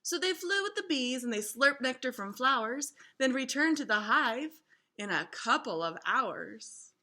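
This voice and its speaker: A woman reading a storybook aloud to children in an expressive, instructive way, like a teacher. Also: high voice, unhurried.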